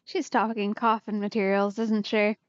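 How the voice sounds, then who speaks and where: A woman's voice: medium pitch, flat delivery, moderate pace, smooth, muffled recording. A woman giving casual let's play commentary on a video game, with wry, conversational asides. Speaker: streamer playing a video game.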